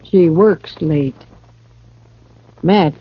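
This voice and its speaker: A woman's voice: low-pitched, drawn-out words, breathy edge, full character voice. An actress playing a worldly, guarded frontier saloon woman in a radio western, speaking in a dry, matter-of-fact way. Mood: dry, confiding. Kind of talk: 1950s radio western acting. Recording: muffled vintage radio recording.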